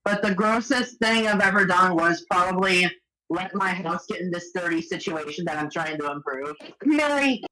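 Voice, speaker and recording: A woman's voice: fairly low pitch, expressive, normal speed, a crowd in the background, poor audio. A woman chatting casually on a group call, playing a party game. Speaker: truth or dare player.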